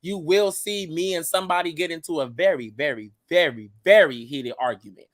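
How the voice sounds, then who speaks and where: Casting: twenties male guest, blunt, level-toned, swears calmly, slightly high voice. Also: fairly quick, no room sound. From United States. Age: 20s. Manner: blunt, calm and cussing. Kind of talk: casual chat.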